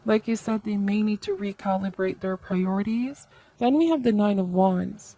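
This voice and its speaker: Female tarot host, mid-pitched voice, conversational and reflective, offering advice straight to the viewer. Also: moderate pace, dark tone. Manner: reflective, advisory, seeks agreement with tags like okay and right.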